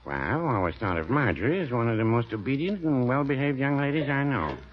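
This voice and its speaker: An actor playing a mild, dry-witted small-town druggist in a 1940s radio comedy, slow and deadpan. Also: male voice, mid-range voice, close to an everyday voice. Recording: dull old radio broadcast with loud hiss.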